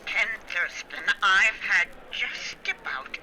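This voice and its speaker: A woman's voice: medium pitch, slow, pushed and bright, slight background noise, low-quality recording. A woman playing an impatient, sarcastic New York girlfriend in a 1940s-style radio drama, sassy and exasperated.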